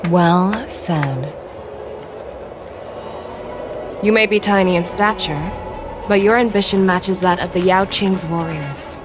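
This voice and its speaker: An actress voicing a calm, commanding woman general who speaks with gentle teasing. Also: lowish voice, measured pace, music in the background, natural voice. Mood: composed. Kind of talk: game voice acting.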